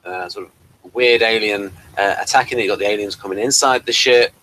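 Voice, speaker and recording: A man's voice: on the low side, normal speed, pushed and bright, rough recording. A man hosting a casual review chat, asking questions and explaining things to his guest. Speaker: host of a review show.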